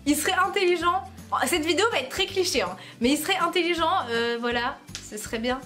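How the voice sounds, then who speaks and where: A woman's voice: high-pitched, fast talker, sharp tone, soft background music. A young woman from France chatting casually to her camera at home, playful and self-mocking, often breaking into laughter. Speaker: YouTuber. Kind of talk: casual vlog chat to camera.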